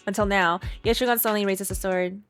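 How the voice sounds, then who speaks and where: A female reaction streamer with a mid-pitched voice. She moves between reading game text and playful, exclamatory casual comments, with soft music in the background. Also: steady tone, quick pace.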